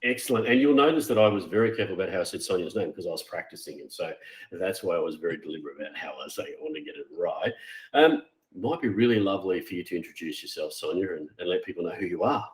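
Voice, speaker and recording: Man, interview host, very low pitch, deliberate halting delivery, echoey room sound. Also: animated. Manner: deliberate, hesitant, repeats words. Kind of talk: conversational interview. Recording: roomy echo.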